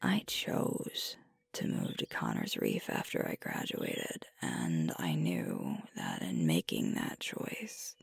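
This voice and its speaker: A sweet, earnest young female werewolf leader, played by a woman, speaks in intimate ASMR roleplay. The voice is very low and breathy, often near a whisper, and swings widely in pitch. The delivery is tender and hesitant, close on the mic. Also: no room sound, full character voice. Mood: reflective, soft. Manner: slow, tender, hesitant, with pauses. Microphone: close microphone, dry.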